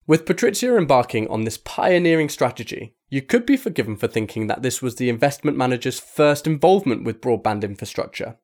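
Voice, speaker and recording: A man reading a magazine article aloud as scripted narration, in a measured, formal delivery. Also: medium pitch. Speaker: article reader.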